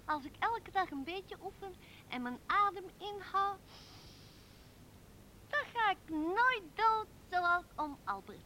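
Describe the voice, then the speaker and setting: A woman's voice: extremely high pitch, lively intonation, slow pace, pauses often, pushed and bright, a little hiss or hum, full character voice. A female performer playing a small, naive and curious girl in a radio play, with a childlike, eager way of talking. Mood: earnest, hopeful.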